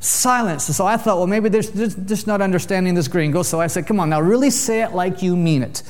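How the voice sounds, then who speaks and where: A joking preacher man, higher voice. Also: brisk. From Canada. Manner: jokey, lively storyteller addressing a congregation. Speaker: visiting preacher. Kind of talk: sermon with humorous storytelling.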